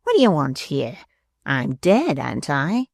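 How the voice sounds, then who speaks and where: A gravelly, slow-spoken dwarf who sneers and threatens with spiteful glee, as a male reader puts him on. The voice drops low and crackles with fry in a dry, close recording. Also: huge pitch swings, no echo, exaggerated voice. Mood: mocking. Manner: sneering and menacing. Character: spiteful scheming male dwarf, bullying and gleeful. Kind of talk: audiobook character voice.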